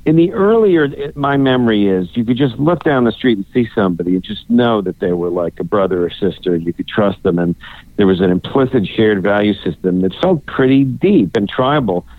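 A low-voiced man talks by cell phone as a guest author. He rambles through long thoughts, full of "you know" and restarts. The line is tinny and dry, with no room around him. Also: animated, a little fast, no echo. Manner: rambling, many fillers and restarts. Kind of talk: phone interview.

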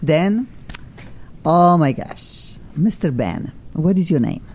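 Gushing rambly lady hosting a talk show, mellow voice, faint rumble behind. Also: takes it slowly. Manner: effusive, excitable, rambling. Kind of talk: casual chat show hosting.

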